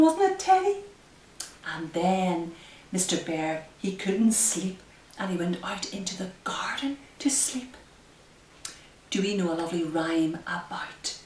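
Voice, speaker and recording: A woman hosting story time for small children as a librarian, speaking gently, asking them questions and leading into simple rhymes. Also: medium pitch, big pitch swings, measured pace, a little hiss or hum. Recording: roomy echo, distant microphone.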